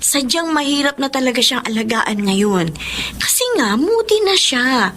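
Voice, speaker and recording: A Filipino actress playing an earnest, pleading younger daughter in a radio drama. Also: female voice, high voice, lively intonation, fairly bright tone, a little hiss or hum. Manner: measured, pleading. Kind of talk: Tagalog radio drama acting. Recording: studio drama with music underneath.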